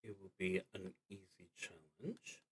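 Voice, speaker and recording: A man thinking aloud as he plays a solo tabletop roleplaying game on a stream, talking in a deliberate, halting way with dry asides. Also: low voice, fairly even intonation, fairly bright tone, slightly rough. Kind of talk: live play narration, thinking aloud.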